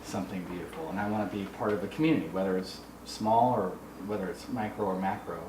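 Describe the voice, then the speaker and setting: A man's voice: sits low, fast. An American man from the Midwest talking casually and personally about himself and his views. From US Midwest, Minnesota. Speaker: artist. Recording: echoey, noisy room, distant mic.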